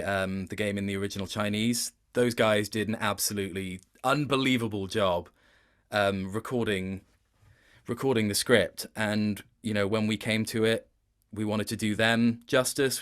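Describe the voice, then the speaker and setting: Low-pitched man chatting. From Wales. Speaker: interview guest, voice actor. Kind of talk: casual interview chat.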